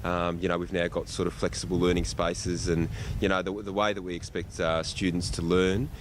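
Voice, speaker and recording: Australian male interviewee, very low voice, halting and wandering answers, clearly noisy outdoor location. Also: level tone. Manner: hesitant, rambling, filler-heavy delivery. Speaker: school principal being interviewed. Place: outdoors at a school site. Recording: outdoor location, loud traffic-like ambient noise.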